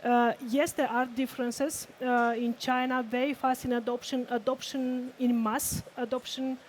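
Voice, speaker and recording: A woman from Romania, speaking on an industry panel in a measured way, listing points. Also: on the high side, level tone, a little hiss or hum. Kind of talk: panel discussion.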